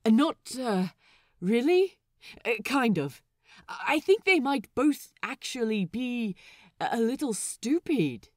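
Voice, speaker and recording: A woman's voice: on the high side, big pitch swings, slow pace, a little mellow, no echo, clean studio sound, pitched above the performer's own voice. A woman voicing a sassy, exasperated male pirate who argues emphatically, in a fan fiction audiobook. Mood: thoughtful. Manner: emphatic, sweary, argumentative.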